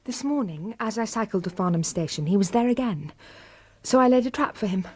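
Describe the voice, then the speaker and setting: A woman's voice: mid-range voice, lively intonation, a little fast, a little mellow, husky. An actress playing a spirited, determined young woman who teaches music, in a radio drama. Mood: recounting.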